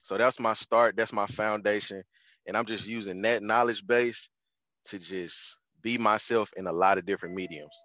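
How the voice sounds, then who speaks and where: A man's voice: fairly low pitch, steady tone, normal speed, pushed and bright, no echo, dull audio. A man, chatting as a podcast guest in a laid-back, slangy way. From Houston, Texas. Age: 20s. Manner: laid-back, slangy, restarts and repeats phrases. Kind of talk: casual conversational interview.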